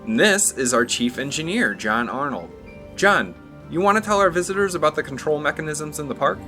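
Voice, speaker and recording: Man playing bright, higher-pitched park publicist. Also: expressive, a little fast. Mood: showy, polite. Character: upbeat, smooth-talking male park publicist. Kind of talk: fan audio drama acting. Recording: music under the voice.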